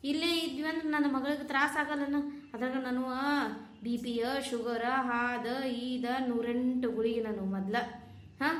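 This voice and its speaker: A woman's voice: high-pitched, lightly acted. A woman playing a worried older mother who laments her troubles to herself, with a North Karnataka accent. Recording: roomy echo.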